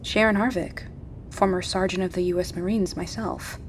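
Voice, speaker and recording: An actress playing a tough, defiant American former Marine sergeant in an audio drama, blunt and guarded. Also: female voice, fairly low pitch, fairly even intonation, moderate pace, slight background noise, natural voice.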